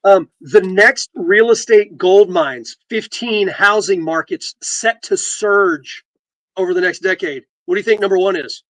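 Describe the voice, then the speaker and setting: A man co-hosting a casual real estate talk show, reading headlines aloud and joking, with halting restarts. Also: a bit bright, no echo, rough recording. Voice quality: deep voice. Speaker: podcast host and realtor.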